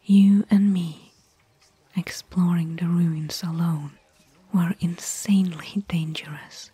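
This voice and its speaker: Actress for bookish woman adventurer, ASMR audio roleplay: mellow, gentle whisper, close and dry. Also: on the low side, fairly even intonation, no room sound, heavily acted. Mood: quietly earnest. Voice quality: breathy, whispered. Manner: slow, gentle, pausing between short phrases. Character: gentle, bookish female desert explorer, caring companion.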